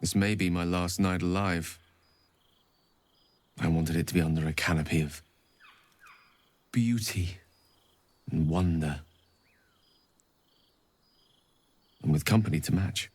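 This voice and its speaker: A romantic, melancholy wizard in a fantasy video game. His low voice is intimate and measured, with eloquent, poetic phrasing and a very dry, close sound. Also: dry recording. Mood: wistful, romantic.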